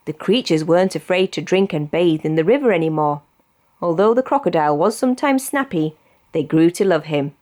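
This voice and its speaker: Woman, British accent, storybook narrator, expressive, deliberate phrasing, lowish voice, very varied intonation. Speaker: storybook reader. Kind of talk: scripted children's story narration.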